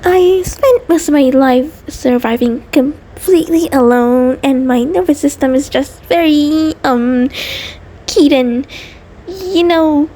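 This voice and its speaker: A woman's voice: high-pitched, slow, mellow. A woman voicing an anxious, devoted centipede creature partner in an intimate audio roleplay, nervous and hesitant, stammering and rambling. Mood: anxious, pleading. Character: anxious, devoted female mutant centipede partner, sweet and neurotic.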